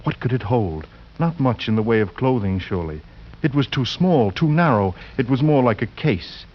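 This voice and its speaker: A man narrating a suspense tale as a radio actor, grave and deliberate in a theatrical 1940s style. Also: mid-range voice, lively intonation, close to an everyday voice. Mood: tense, urgent. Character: grave, ominous male storyteller of a horror tale. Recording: muffled 1940s radio recording with music.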